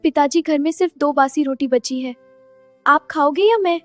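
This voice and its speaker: A woman's voice: sits high, normal speed, faint music behind, natural voice. A woman playing a brave, clever young farmer's daughter in a moral story, respectful but determined. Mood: worried. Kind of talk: dramatized moral story dialogue.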